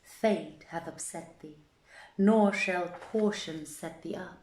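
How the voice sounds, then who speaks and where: Woman as a low, wily carpenter, echoey room. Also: a little mellow. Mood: gloating. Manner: measured, archaic, formal speech. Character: wily male carpenter, outwardly humble, secretly vengeful. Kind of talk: dramatised reading of an old folk tale. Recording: echoey, roomy sound.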